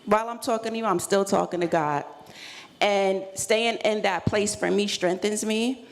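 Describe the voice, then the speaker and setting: A woman's voice: mid-range voice, moderate pace. A woman speaking as a panelist in an earnest, affirming, reflective way. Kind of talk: reflective panel talk on self-care. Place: live panel event in a room. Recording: reverberant room with clear background noise.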